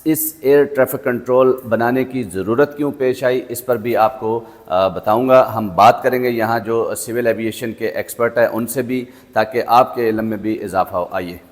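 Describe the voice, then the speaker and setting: A man's voice: medium pitch, steady tone. A man with a Pakistani accent presenting an on-location news report and interview as a journalist, often hesitating between phrases. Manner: halting, with frequent hesitations. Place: on location in an air traffic control centre.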